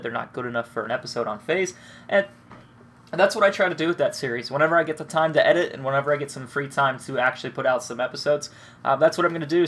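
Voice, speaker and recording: A man's voice: medium pitch, a bit bright, slight background noise. A young man with a cold and a stuffed-up nose, casually rambling and swearing as he comments on his own gameplay. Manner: rambling, laid-back, swears freely. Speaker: gaming commentator.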